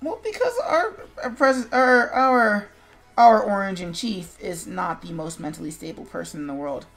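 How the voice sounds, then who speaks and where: A woman's voice: medium pitch, expressive, normal speed, a bit bright, poor audio. Sarcastic, blunt American woman chatting over music. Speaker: streamer, show host. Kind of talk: casual opinionated chat.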